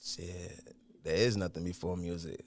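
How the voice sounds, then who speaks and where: Laid-back young man, low voice. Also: steady tone, a little slow. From Haiti. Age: young adult. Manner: laid-back, brief answers, slangy. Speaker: rapper guest. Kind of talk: casual interview. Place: podcast studio.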